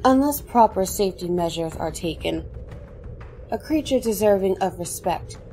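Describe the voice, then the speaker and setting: A woman playing a regal, composed elven queen in a fantasy audio drama, speaking in a measured, stately and grave manner. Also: mid-range voice, expressive, mellow, faint music behind, natural voice. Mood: grave, reverent.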